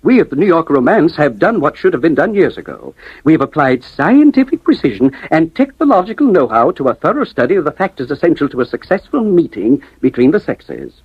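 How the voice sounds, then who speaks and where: A man's voice: fairly high pitch, sing-song, fairly quick, breathy edge, no room sound, exaggerated voice. An American man playing a persistent, genial salesman in a 1950s radio drama, persuasive, flowery and emphatic, on a dull vintage recording. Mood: grandiose. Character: smooth-talking, persistent male romance-service salesman, genial and grandiose.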